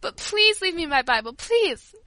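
A woman's voice: high-pitched, fairly quick, pushed and bright, no echo. A woman voicing a devout, timid young orphan girl in an audiobook, polite and imploring. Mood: tearful pleading.

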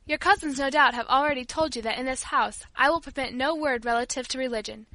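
A woman's voice: sits high, fast talker, pushed and bright, no room sound. A woman voicing a cold, strict father in an audiobook, speaking in a curt, formal, authoritarian way. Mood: stern, measured. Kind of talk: audiobook character voice.

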